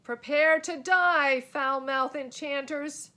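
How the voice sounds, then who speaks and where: Blustering villain knight for a storybook, acted by a woman: high, loud bellow, drawn-out archaic threats. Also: heavily acted. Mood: furious.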